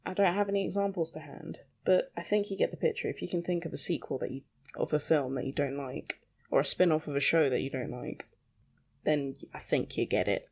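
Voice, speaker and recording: Low, creaky-voiced casual woman. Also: brisk, a little mellow. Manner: self-deprecating, mildly sweary. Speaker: solo podcast host. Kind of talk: casual rambling monologue.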